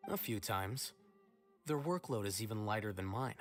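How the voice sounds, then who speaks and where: A man playing an aloof, bookish young scholar in a fantasy video game, speaking in a measured deadpan. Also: lowish voice, faint music behind, natural voice. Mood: dry, unbothered.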